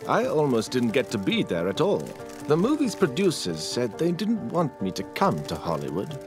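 An actor playing an entertainer from history, telling his story in an animated way. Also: mid-range voice, moderate pace, over music. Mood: rueful. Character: adult male entertainer recalling his career.

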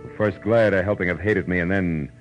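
Hard-boiled male private eye, played by a man, American, low and clipped, sardonic; muffled vintage radio drama. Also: fast, close to an everyday voice. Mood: grim narration. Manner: clipped, wisecracking, slangy. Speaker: detective lead and first-person narrator. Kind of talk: old-time radio noir acting and narration. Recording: muffled old radio recording, music behind.

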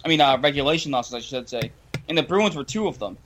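A man's voice: medium pitch, expressive, fast, fairly bright tone, a little hiss or hum. A young man hosting a casual sports chat, frustrated and incredulous, repeating himself as he complains. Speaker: podcast host.

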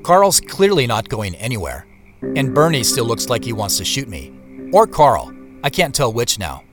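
A man's voice: medium pitch, big pitch swings, normal speed, over music, natural voice. An actor playing a wry, observant narrator telling his own story in scripted audio drama narration. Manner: wry, descriptive. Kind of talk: scripted first-person narration.

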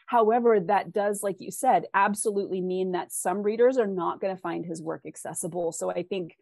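A woman's voice: medium pitch, fairly quick, gravelly, a little mellow, no echo. A woman giving thoughtful, conversational interview answers as a guest author, explaining things and acting out imagined questions.

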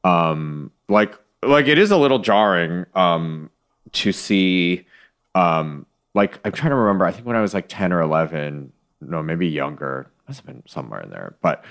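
A man's voice: low-pitched, lively intonation, moderate pace, a bit bright. A man co-hosting a casual comedy podcast, rambling and questioning himself with many fillers. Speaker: podcast co-host.

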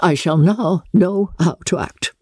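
A woman's voice: lowish voice, a little slow, a little mellow, slightly rough, no echo, clean studio sound, voice pushed up. A woman voicing a haughty, incensed older noblewoman in a dramatic novel reading, imperious and declamatory. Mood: outraged, threatening.

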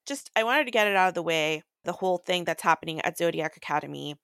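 A woman's voice: fairly low pitch, lively intonation, quick pace, vocal fry, a bit bright, clear, resonant tone, no room sound. Casual young woman podcaster, very dry recording. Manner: crude, irreverent humor with frequent swearing. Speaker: podcast host. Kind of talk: casual book recap chat.

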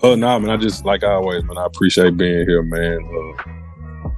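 Male guest, deep voice, slangy, effusive, repeats himself, faint music in back. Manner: halting, repetitive, effusive, slangy. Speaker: podcast guest. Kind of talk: casual podcast chat.

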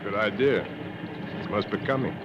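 An actor playing a gruff, wary safari guide, blunt and commanding, in 1950s radio drama style. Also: male voice, fairly low pitch, normal speed, lightly acted. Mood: friendly. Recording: muffled, noisy old radio broadcast.

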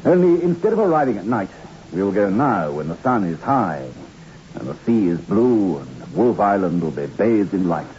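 An earnest country doctor in an old radio drama. His voice is low and swings expressively as he presses his case in formal, insistent speech. The recording is muffled and heavy with noise. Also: big pitch swings, moderate pace, warm tone. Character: earnest, persuasive male country doctor with a secret. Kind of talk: 1960s radio drama acting.

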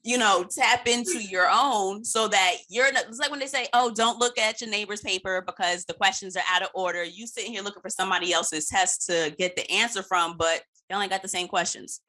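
A woman's voice: mid-range voice, fairly quick, few pauses, pushed and bright. A woman hosting a podcast in casual chat, speaking informally and emphatically and swearing freely. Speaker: podcast host.

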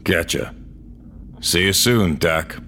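An actor playing a world-weary, blunt old cyborg man with a wry, gruff manner in an audio drama. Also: deep voice, slow, pushed and bright, slight background noise, exaggerated voice. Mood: guarded. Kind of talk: audio drama dialogue and first-person narration.

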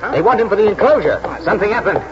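A man playing a brisk, no-nonsense racecourse official in a 1940s radio drama style. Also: high-pitched, lively intonation, crowd noise. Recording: dull old radio with loud music.

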